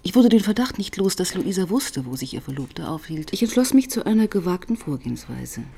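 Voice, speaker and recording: Female police inspector, radio crime drama: low-pitched, dry, matter-of-fact, reflective, probing. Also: sing-song, fairly quick, gravelly, mellow, breathy edge, heavily acted. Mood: reflective narration. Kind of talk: German radio crime drama with first-person narration.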